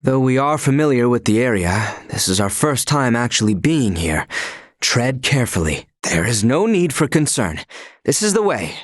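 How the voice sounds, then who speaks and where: A man's voice: medium pitch, big pitch swings, normal speed, no room sound, studio quality. A man voicing a dutiful, stern young attendant to royalty in a video game, speaking in formal, archaic phrasing. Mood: measured, cautionary. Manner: formal, archaic, courtly diction. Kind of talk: video game character voice lines.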